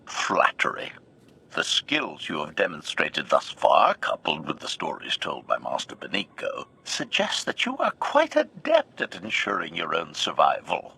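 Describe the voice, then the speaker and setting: A man's voice: low-pitched, creaky, sharp tone, breathy edge, exaggerated voice. A man voicing a loyal, formal assassin droid in a sci-fi game, announcing the intent of each statement with stiff precision.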